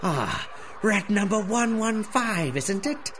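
An actor playing a pompous, menacing villain who lords over child thieves in a 1930s-style radio drama, speaking with mock courtesy. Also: male voice, high voice, lively intonation, slow pace, a bit bright, a little hiss or hum, full character voice. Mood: imperious. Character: theatrical villain Rat Lord ruling child thieves from a sewer.

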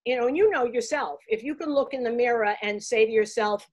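An older woman with a Boston accent tells baseball stories in a lively, casual way, restarting her sentences often, speaking on a video call from her home. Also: fairly high pitch, fairly quick, husky. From Boston, USA. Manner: animated, talks with her hands, restarts often. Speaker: guest, baseball broadcaster. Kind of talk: casual interview storytelling. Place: room at home, framed pictures on the wall.

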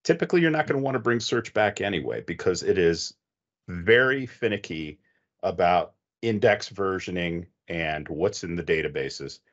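A man's voice: low-pitched, animated, moderate pace, a bit bright. A middle-aged man giving methodical, conversational technical advice as a panelist on an online video call. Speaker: expert panelist. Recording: dull, muffled online call audio.

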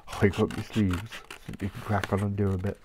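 A man's voice: fairly low pitch, level tone, moderate pace, background noise. A man with a chesty cough, chatting in a rambling way and laughing often while cutting paper at his craft desk. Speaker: craft channel host. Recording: paper crinkling close by.

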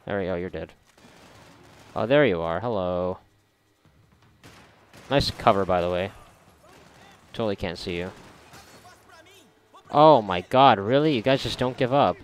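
A man giving casual, reactive commentary while gaming, sarcastic and foul-mouthed, with a gravelly voice. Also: lowish voice, expressive, unhurried, pauses often. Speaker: gamer recording a playthrough. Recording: noisy, game gunfire behind voice. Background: video game gunfire and ambience.

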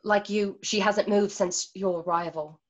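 A woman playing a calm, guarded healer in a radio drama, speaking in a measured, solemn way. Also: medium pitch, muffled recording, lightly acted. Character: calm, guarded female healer nicknamed Delicate Poison. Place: remote session on video call at home.

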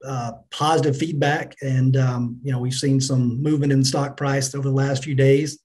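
A middle-aged man answering business interview questions as a company finance chief, in a measured, businesslike manner. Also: mid-range voice, flat delivery, darkish tone. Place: indoors on a remote video call.

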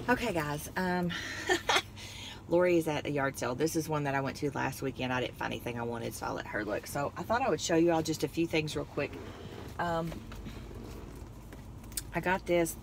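A woman, chatting casually and rambling about her finds from inside a car. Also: deep voice. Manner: chatty, rambling, laughs often. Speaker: vlogger. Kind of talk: casual haul chat. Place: parked car interior, then driving. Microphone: phone on dashboard. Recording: noisy car interior.

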